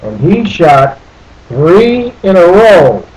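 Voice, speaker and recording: A man reading a picture book aloud as a storyteller, deliberately, with questions and asides to his young listeners. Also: high voice, big pitch swings, darkish tone, rough recording. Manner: deliberate, asks the listeners questions and repeats phrases.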